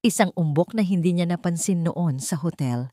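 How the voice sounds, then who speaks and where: Low-voiced woman narrating a dramatic story. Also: normal speed, warm tone, no room sound, clean studio sound.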